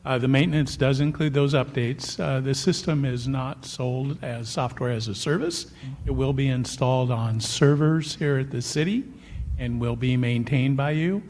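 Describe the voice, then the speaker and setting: A man with a big voice, a software vendor answering council questions in measured explanations. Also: medium pitch, big-room sound, a little hiss or hum. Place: city council chamber.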